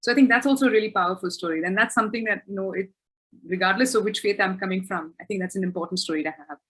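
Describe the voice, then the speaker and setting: A woman's voice: mid-range voice, very fast, a bit bright. A woman author answering questions in a webinar, thoughtful and self-correcting, with frequent restarts.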